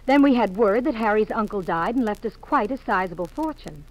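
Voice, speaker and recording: A woman's voice: medium pitch, lively intonation, brisk, lightly acted, low-quality recording. An actress playing an anxious, hesitant married woman with an imploring manner, in 1950s radio drama style. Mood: flustered.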